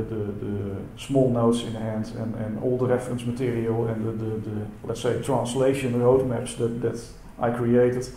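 A man whose first language is Dutch gives a halting conference presentation. His tone is dark and mellow. The sound carries a roomy echo with faint background noise. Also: medium pitch. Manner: halting, many repeated words and fillers. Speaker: symposium speaker. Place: lecture hall. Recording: echoey hall with faint noise.

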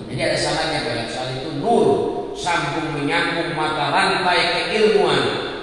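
A man's voice: a little high, a little slow, fairly bright tone. A middle-aged man, giving an emphatic, didactic Islamic lesson with repetition and rhetorical questions. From Indonesia, Betawi region of Jakarta. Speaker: Islamic teacher. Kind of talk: mosque sermon, religious lesson. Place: large stone-walled mosque indoors. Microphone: handheld microphone through speakers, recorded by far camera. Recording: very echoey hall, distant, faint noise.

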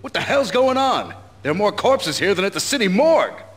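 A man's voice: high voice, very animated, brisk. An actor voicing an anxious, jittery male cop who speaks urgently. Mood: alarmed. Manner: urgent, exclaiming.

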